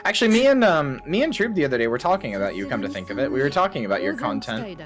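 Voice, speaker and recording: A woman co-streamer chatting casually, earnest and teasing, quick and animated in how she talks. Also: extremely low pitch. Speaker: guest co-streamer.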